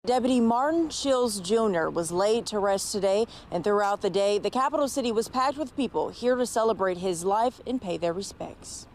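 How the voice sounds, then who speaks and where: A woman's voice: mid-range voice, animated, moderate pace, hardly any pauses, a little mellow, slight background noise. A woman working as a television news reporter, reading scripted narration for a field report.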